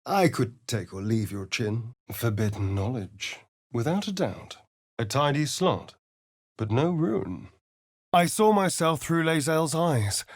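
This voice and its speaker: An actor voicing a sardonic, foppish, flirtatious vampire spawn in a video game, with an arch, theatrical, languid drawl. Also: male voice, medium pitch, sing-song, takes it slowly, no echo, studio quality, full character voice. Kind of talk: video game character lines.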